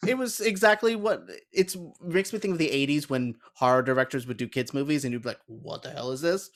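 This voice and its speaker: A man's voice: mid-range voice, brisk. A man chatting casually as a podcast panelist, giving frank opinions. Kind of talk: casual chat about films and games.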